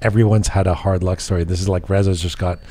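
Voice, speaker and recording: A man's voice: low-pitched, fairly even intonation, fast talker, warm tone, a little hiss or hum. A man hosting in a studio, with a wry, teasing manner. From Canada. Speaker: show host. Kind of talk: casual, joking studio chat.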